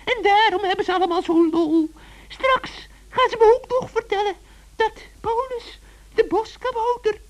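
A man's voice: very high-pitched, normal speed, pitched above the performer's own voice. A man voicing a whining, scheming old female witch in a children's radio play, theatrical and stammering. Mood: dismayed.

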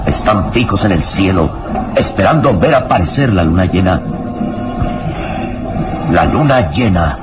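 A man narrating an old radio serial in a grave, ornate, suspenseful style. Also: fairly low pitch. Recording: noisy old radio drama recording.

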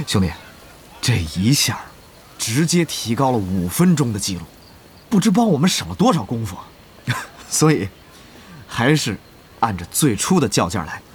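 A man's voice: mid-range voice, very animated, a little slow, pauses often, slight background noise. An actor playing an affable, scheming male guild leader in an audio drama, chummy, exclamatory and eager to please. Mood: effusive.

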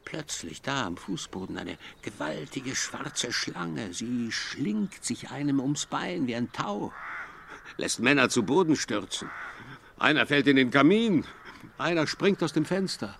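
An actor in a radio play as a rough, blustering man telling of a clubbed eel and making excuses. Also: mid-range voice, very animated, measured pace, fairly bright tone, a little hiss or hum, heavily acted. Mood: excited, defensive.

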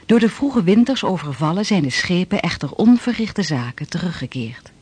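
An actor narrating a historical radio play in a formal, measured, declamatory way. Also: male voice, high-pitched, big pitch swings, a little mellow, breathy edge, dry recording, full character voice. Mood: stately. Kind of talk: scripted narration. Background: music.

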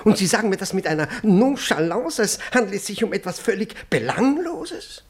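An actor playing a pompous, fussy older male department chief in a radio play, halting and repeating himself. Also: high-pitched, very animated, breathy edge, full character voice. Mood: indignant, alarmed. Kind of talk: German radio comedy acting.

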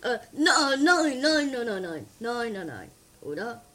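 A woman's voice: on the high side, sing-song, measured pace, bright, forceful tone, far from the mic, heavily acted. A woman playing a distracted, flustered police inspector in a comedic radio play, stammering, apologetic and officious. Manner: stammering, hesitant.

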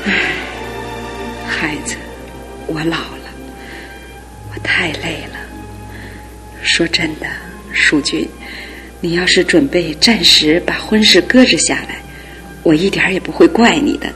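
A woman's voice: measured pace, pauses often, bright, forceful tone. An actress playing a grieving older mother, tired and sighing, in a radio drama with music behind. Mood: weary. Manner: tired, sighing and low-voiced.

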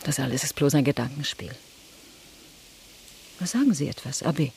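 An actress playing a bitter, vengeful French noblewoman in a radio drama, intense and husky, with creaks in her voice. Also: a bass voice, lively intonation, normal speed, darkish tone, slight background noise, heavily acted.

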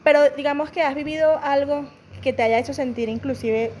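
A woman interviewing, asking gentle, probing questions with hesitant fillers. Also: high-pitched, brisk, a little hiss or hum.

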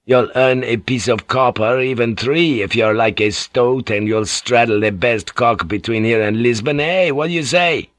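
A man reading an audiobook, voicing a crude, lewd middle-aged ship's boatswain with a loud, blustering manner. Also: mid-range voice, moderate pace, few pauses, no echo, close to an everyday voice. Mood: leering. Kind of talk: audiobook character voice.

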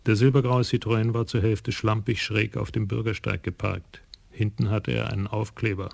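A man narrating a crime radio play in an even, measured way. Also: lowish voice, gravelly, no room sound.

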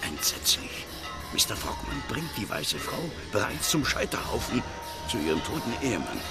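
Gallant English officer, wildly varied intonation. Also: male voice, lowish voice, very animated, moderate pace, vocal fry, loud music behind the voice. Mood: horrified, urgent. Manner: formal, courteous. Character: gallant, worried English military gentleman in colonial India. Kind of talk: radio drama acting.

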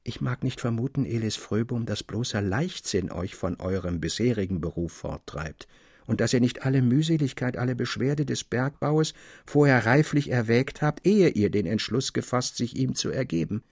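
A man's voice: medium pitch, expressive, a little mellow, husky, dry recording, clean studio sound. Man acting a grave middle-aged mine master. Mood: solemn, earnest. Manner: grave, measured, formal old-fashioned speech. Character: stern, earnest middle-aged Swedish mine master, kindly.

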